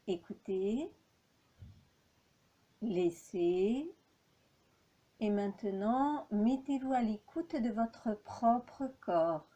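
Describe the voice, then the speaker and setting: Very slow, mellow woman guiding relaxation. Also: mid-range voice. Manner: calm, measured delivery with long pauses. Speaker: yoga nidra instructor. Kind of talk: guided relaxation meditation, instructing step by step.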